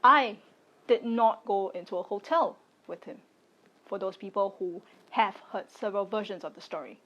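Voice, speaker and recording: A woman in her late twenties from Singapore, giving a personal video statement in deliberate, firm, short phrases. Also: medium pitch, a bit bright, dull audio. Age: late 20s. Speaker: content creator.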